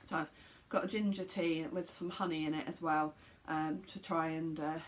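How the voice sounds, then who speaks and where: A woman with a slightly croaky throat, chatting casually to camera at home as a knitting podcast host, rambling with many ums and restarts. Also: sits low, steady tone, normal speed.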